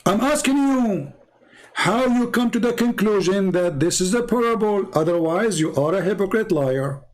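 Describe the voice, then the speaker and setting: A man's voice: high voice, expressive, moderate pace, low-quality recording. An English-speaking man hosts a religious debate, pressing his opponent with confrontational, repeated demands. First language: not English. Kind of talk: live religious debate, cross-examination.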